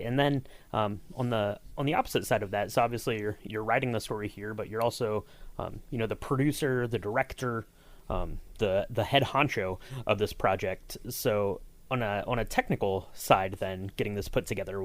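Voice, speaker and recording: A man hosting a podcast interview, phrasing his questions haltingly and repeating words. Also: on the low side. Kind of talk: casual interview.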